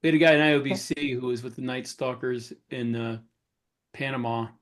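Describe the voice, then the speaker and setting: Male co-host, average pitch, informal conversational storytelling. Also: fairly even intonation, brisk. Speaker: podcast co-host. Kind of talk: casual anecdote chat.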